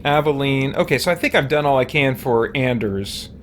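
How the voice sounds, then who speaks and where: A man's voice: medium pitch, animated, moderate pace, slight background noise. A man giving casual, rambling gameplay commentary, thinking aloud as he streams a role-playing game. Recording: game music behind the voice. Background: video game music.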